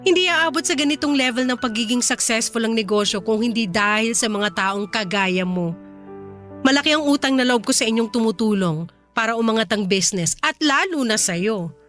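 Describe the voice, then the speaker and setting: A woman's voice: on the high side, expressive, moderate pace, a bit bright, faint music behind. A woman acting a kind, reassuring company boss in a Filipino radio drama, earnest and composed, with a Filipino accent. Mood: earnest, appreciative.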